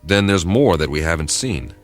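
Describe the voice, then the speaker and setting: Deep-voiced, earnest captain. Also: male voice, expressive, moderate pace, soft background music. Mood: friendly. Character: stranded spaceship captain, earnest, weary, baffled but polite. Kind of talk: classic radio drama acting.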